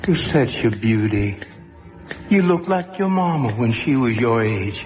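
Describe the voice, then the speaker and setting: A man playing a gentle, fond father speaking tenderly to his daughter. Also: mid-range voice, big pitch swings, a little slow. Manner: tender, wistful. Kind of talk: radio drama acting. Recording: tinny phone-like sound under loud music.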